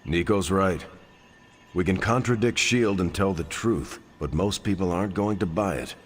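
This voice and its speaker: A man as a deep-voiced steady hero. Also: moderate pace, slight background noise, close to an everyday voice. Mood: firm. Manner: firm, sober. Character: earnest, steady male team leader hero. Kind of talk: video game cutscene acting.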